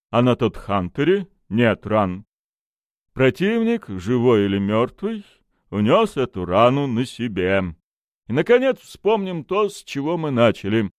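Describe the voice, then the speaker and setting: A man's voice: medium pitch, no echo, clean studio sound, voice pushed up. A man voicing a haughty, self-assured expert in criminology for an audiobook, lecturing with a pompous drawl. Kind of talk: audiobook character acting.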